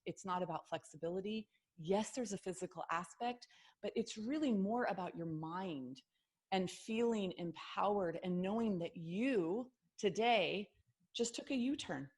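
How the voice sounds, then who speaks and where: A middle-aged woman, a health coach, speaks informally on a video call, chatty and earnest, wandering between points as she leads a workshop. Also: mid-range voice. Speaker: yoga therapist and health coach hosting a workshop. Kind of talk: informal online workshop talk.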